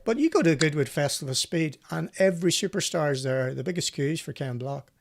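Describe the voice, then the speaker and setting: A man with a fairly high voice and a gravelly creak hosts a casual live show. He chats freely, spinning anecdotes and trading banter with his guest. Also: lively intonation, fairly quick. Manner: chatty, storytelling, bantering. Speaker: host of a live rally show; former professional co-driver.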